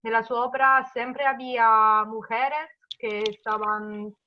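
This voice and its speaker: A woman with an Italian accent, a participant in an online class, speaking with a halting manner, searching for words. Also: a little high, steady tone, lo-fi sound. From Italy.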